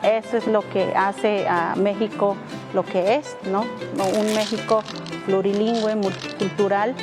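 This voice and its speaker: A woman interviewee speaking thoughtfully, with pauses and fillers. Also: mid-range voice, fairly even intonation. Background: guitar music.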